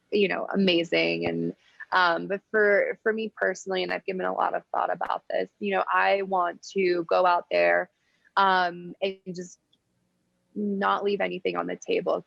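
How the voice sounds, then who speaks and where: Twenties woman on a podcast, super gravelly vocal fry, dull dry call audio, thinks out loud with lots of ums. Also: fairly low pitch, no room sound. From United States. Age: 20s. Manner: measured, thoughtful answers with frequent "um". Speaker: guest athlete. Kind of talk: podcast interview.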